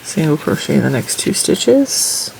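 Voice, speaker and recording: A woman with a stuffy, congested nose talks casually while she crochets and counts off her stitches and rows. Her voice has a gravelly fry, and a faint hiss of noise sits behind it. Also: deep voice, sing-song, a little slow, a little mellow, poor audio. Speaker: crochet tutorial host. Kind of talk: casual crochet tutorial with chat.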